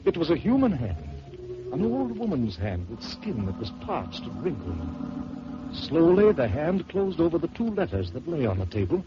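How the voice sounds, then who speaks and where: A man narrating a first-person horror story in dramatic old-time radio style, swelling and dropping to hushed tones. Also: a little high, big pitch swings, moderate pace, music in the background. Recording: dull lo-fi old radio recording with hiss.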